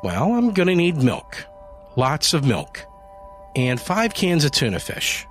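A man's voice: on the high side, wildly swooping pitch, takes it slowly, soft background music, voice pushed up, heavily acted. A man voicing a frantic, grieving woman who pleads and screeches over her kitten. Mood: cheerful.

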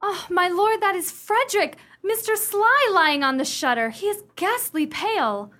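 A woman's voice: extremely high pitch, lively intonation, normal speed, sharp tone, exaggerated voice. A woman playing a haughty, melodramatic young lady torn between suitors, in a theatrical comic period radio play. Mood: aghast, dramatic. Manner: theatrical, grandly indignant.

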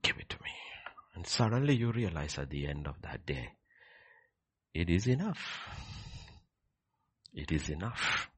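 A man with a husky voice preaching a sermon, emphatic, repeating key phrases and swinging between hushed and loud delivery. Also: low voice, big pitch swings, a little slow, pauses often. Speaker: preacher leading a prayer service.

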